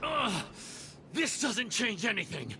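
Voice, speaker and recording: High-voiced, bitter mercenary villain. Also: male voice, big pitch swings, takes it slowly, gravelly, a crowd in the background, heavily acted. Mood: bitter, threatening. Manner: menacing, resentful. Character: bitter, defeated male mercenary villain making threats. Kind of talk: scripted video game dialogue.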